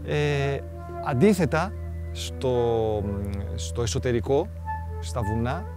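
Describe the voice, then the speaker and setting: A man giving a spontaneous interview in a halting way, searching for his words. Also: mid-range voice, animated, over music. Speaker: documentary interviewee.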